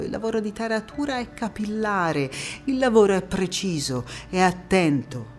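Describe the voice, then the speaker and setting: An actress playing a proud, spirited young female parliamentarian recounting memories in an expressive, emphatic stage monologue. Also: deep voice, close to an everyday voice. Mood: grave, measured. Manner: expressive, deliberate, emphatic phrasing. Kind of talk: theatrical first-person monologue.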